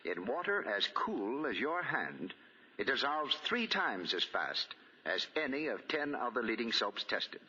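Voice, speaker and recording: A man's voice: medium pitch, sing-song, a little slow, bright, forceful tone, husky. An American man working as a 1940s radio announcer, reading copy with polished, emphatic phrasing on a dull old recording.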